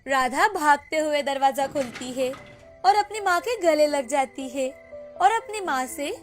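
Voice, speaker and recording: A woman's voice: sits high, expressive, unhurried, faint music behind. A woman narrating a scripted moral story as storyteller.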